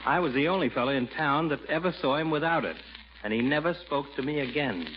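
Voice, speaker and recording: A man as a chatty folksy barber, lively higher voice. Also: quick pace, lightly acted. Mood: storytelling. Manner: folksy, easygoing. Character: folksy, chatty small-town male barber. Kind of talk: 1940s radio comedy acting. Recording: muffled old radio recording, faint noise.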